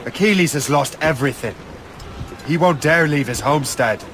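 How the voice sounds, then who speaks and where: An actor playing a guilt-laden, resolute adult assassin turned Templar in dramatic video game cutscenes. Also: male voice, fairly high pitch, lively intonation, moderate pace, music in the background. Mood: grave.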